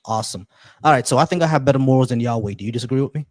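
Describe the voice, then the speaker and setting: A man chats loosely on a live stream, welcoming viewers and trailing into little restarts. His voice sits at an average pitch for a man. The tone stays casual and unhurried. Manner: laid-back, shout-outs to viewers, many restarts. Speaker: livestream host.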